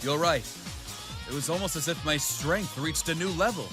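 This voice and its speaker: A man's voice: on the high side, normal speed, lightly acted. A man playing an earnest young warrior hero in a fan audio drama. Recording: music under the voice.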